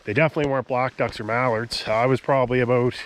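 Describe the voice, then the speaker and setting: A man, nasal and stuffed up from a cold, talks casually to a handheld camera out on an open tidal marsh. His voice sits at an ordinary pitch, with a faint hiss of background noise behind him. He keeps trailing off with "so" and "um" as he narrates. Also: steady tone, fast. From Nova Scotia, Canada. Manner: chatty, trailing off with "so" and "um". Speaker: hunting vlogger, channel host. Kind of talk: casual outdoor vlog narration.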